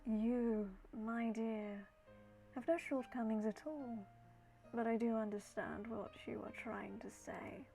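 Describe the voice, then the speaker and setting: Woman reading as ailing nobleman, courtly, melancholy, measured, creaky voice, game dialogue, faint music. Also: fairly high pitch, steady tone, low-quality recording. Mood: gentle, apologetic. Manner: formal, measured, gently condescending endearments. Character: courtly, sickly, melancholy male lord of a decaying castle.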